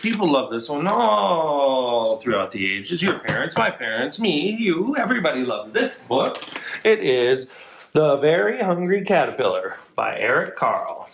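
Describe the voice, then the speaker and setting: Male children's storyteller, thirties. Slow, very animated delivery, encouraging to little listeners. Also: a little high, gravelly, slight background noise. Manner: expressive, encouraging to young listeners. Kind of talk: children's storytime reading, songs and action rhymes. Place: indoors, living room. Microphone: camera a few feet away. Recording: roomy living-room echo, camera mic.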